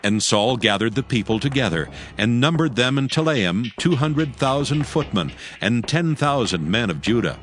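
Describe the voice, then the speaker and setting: A man's voice: medium pitch, sing-song, normal speed, few pauses, fairly bright tone, a little hiss or hum. A man narrating a dramatized scripture reading in a scripted storytelling style.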